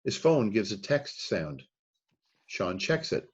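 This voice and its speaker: A man narrating the stage directions at a screenplay read-through in a plain, steady reading voice. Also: lowish voice, slow pace, husky, muffled recording. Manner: plain, steady reading of scene headings and action.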